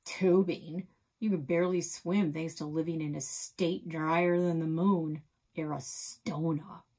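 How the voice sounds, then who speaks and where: A woman's voice: sits low, fairly even intonation, measured pace, warm tone. Woman reading as a grumpy old grandfather. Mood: grumbling. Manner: blunt, scolding. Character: gruff old grandfather, grumpy and sharp-tongued.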